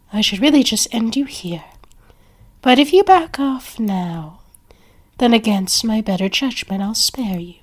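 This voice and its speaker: A woman acting a haughty, possessive vampire who flirts and threatens in an ASMR roleplay, theatrical and often half-whispered. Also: medium pitch.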